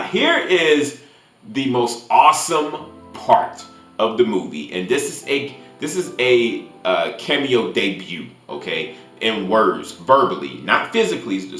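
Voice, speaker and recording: A man giving a casual spoiler-filled movie review as a YouTuber, haltingly, often repeating and restarting his phrases. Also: mid-range voice, sharp tone, echoey room.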